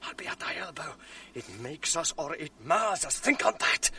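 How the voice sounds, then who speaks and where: A scheming male villain of a classic radio play, murmuring his plots half in whisper. His tone is bright and keen, with lively swings of pitch. He sits a step back from the microphone. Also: normal speed, distant mic, heavily acted. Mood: urging. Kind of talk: 1980s radio drama acting.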